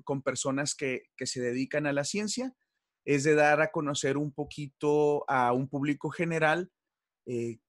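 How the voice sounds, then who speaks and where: A man's voice: a little high, no room sound. Mexican man hosting an interview, dry sound. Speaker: interview host. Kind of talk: conversational science interview.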